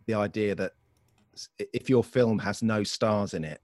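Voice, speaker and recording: A man's voice: on the low side, normal speed, darkish tone, dry recording. A man co-hosting a podcast, chatting casually, joking and rambling as he checks things. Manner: rambling, jokey, trails off while checking stats. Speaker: podcast co-host.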